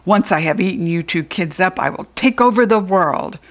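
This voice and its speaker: A woman's voice: lowish voice, expressive, moderate pace. A woman voicing an evil, boastful giant robot toilet monster in a children's storybook, menacing and gloating.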